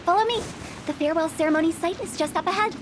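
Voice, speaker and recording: A woman's voice: very high voice, fast talker, background noise. A young woman voicing a playful, mischievous female funeral director in a video game, teasing and mock-spooky. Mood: guiding.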